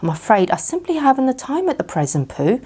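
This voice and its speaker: Storybook owl, male, courteous, pompous, formal wording, lifted lively pitch; woman performing. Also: fairly quick, slightly rough, heavily acted. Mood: politely declining. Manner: formal, wordy. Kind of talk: children's bedtime storybook reading.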